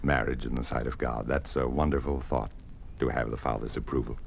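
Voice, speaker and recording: An actor playing a kindly older clergyman in a 1950s radio drama, speaking in a measured, gentle, fatherly way. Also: rumbling low, steady tone, gravelly, exaggerated voice. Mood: warm, solemn. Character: kindly older clergyman posing as a village carpenter. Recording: noisy old 1950s radio recording.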